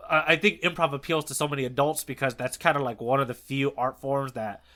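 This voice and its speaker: A man's voice: mid-range voice, steady tone, brisk, pushed and bright. A man co-hosting a podcast, talking casually and enthusiastically about stories and manga. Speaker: podcast co-host.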